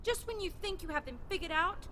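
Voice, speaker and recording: A woman playing a chatty, polite, excitable young female rescuer in an audio drama, with a chirpy theatrical manner. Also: extremely high pitch, a little fast, very noisy. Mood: pondering.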